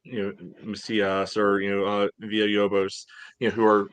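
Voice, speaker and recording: A man on a podcast panel giving casual sports analysis in a measured, hedging way, peppered with "you know". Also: on the low side, completely flat delivery, smooth, no room sound.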